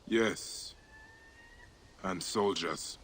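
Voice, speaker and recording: A resolute Egyptian warrior for a game cutscene. He keeps his lowish voice measured and grave, and he never hurries his words. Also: fairly bright tone, a little hiss or hum, exaggerated voice. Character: Bayek, stern male Egyptian Medjay warrior. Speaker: protagonist. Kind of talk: video game cutscene acting.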